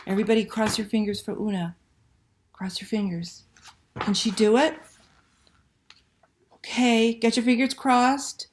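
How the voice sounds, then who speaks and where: A woman telling a picture-book story to children in a dramatic, expressive read-aloud style. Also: a little high, measured pace, long pauses. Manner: dramatic, animated delivery with hushed passages and exclamations.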